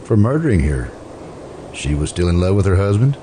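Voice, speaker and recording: Man acting a very deep, stern, suspicious guard. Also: sing-song, a little fast, warm tone. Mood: probing. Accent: American. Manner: blunt, accusing, wary. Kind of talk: old-time radio drama acting. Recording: amateur radio recording, faint background noise.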